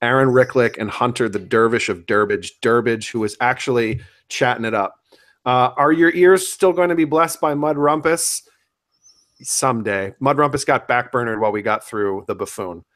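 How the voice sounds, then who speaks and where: Male podcast co-host, mid-pitched, informal and teasing, for off-the-cuff livestream banter. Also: moderate pace, fairly bright tone. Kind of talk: casual post-show livestream chat.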